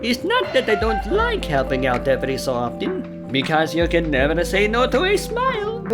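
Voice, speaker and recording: A woman's voice: mid-range voice, huge pitch swings, normal speed, over loud music, voice pushed up. A woman voicing an upbeat, eager-to-please young handyman who rambles and gets a little flustered, in a cartoon-style audio play. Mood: cheerful, self-deprecating.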